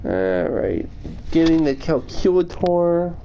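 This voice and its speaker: A man with a fairly high voice chats on a livestream at a slow pace. His phrasing is wordy and oddly formal as he answers viewers. Some noise sits behind him. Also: sing-song, gravelly, warm tone. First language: English. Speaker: streamer. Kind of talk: casual livestream chat answering viewer questions. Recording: noticeable background noise.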